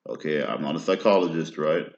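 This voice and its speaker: Very deep-voiced man rambling, roomy and dull. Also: a little fast, gravelly. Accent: American. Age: 30s. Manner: meandering, often ends phrases with "right?". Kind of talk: rambling personal monologue.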